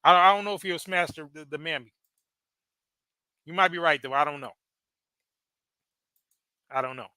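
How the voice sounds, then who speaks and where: A high, bright male voice comes through crisp and dry, right up on the mic. He talks casually over a video, stammering and restarting his words and tossing in chuckling asides. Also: moderate pace, pauses often, dry recording. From United States. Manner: chatty, stammering, laughing asides. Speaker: podcast host reacting to a video. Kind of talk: casual live commentary.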